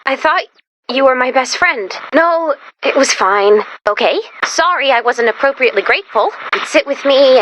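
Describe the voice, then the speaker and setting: High, bright, animated female robot sidekick. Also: a little hiss or hum, rough recording. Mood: hurt. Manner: expressive, exasperated, quick to react. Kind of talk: animated video game voice acting.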